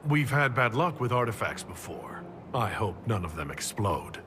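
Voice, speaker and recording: An actor playing a composed, courteous leader in a fantasy video game, asking questions in a measured, businesslike way. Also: male voice, lowish voice, big pitch swings, a bit bright, long reverb tail, a little hiss or hum. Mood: dry, curious. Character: composed male leader of an inquisition, courteous and purposeful. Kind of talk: video game dialogue acting.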